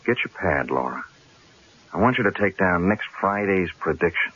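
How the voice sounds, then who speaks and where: Muffled radio drama, low husky newspaperman, dry, measured, wry, worried. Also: animated, a bit bright, full character voice. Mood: grimly composed. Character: wry, worried male newspaper columnist facing a death threat.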